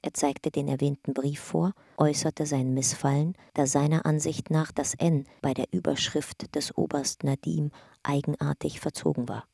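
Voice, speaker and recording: A woman's voice: deep voice, gravelly, dark tone, slightly rough, dry recording, clean studio sound, full character voice. A woman narrating an investigation file in a factual, detached, scripted radio drama style.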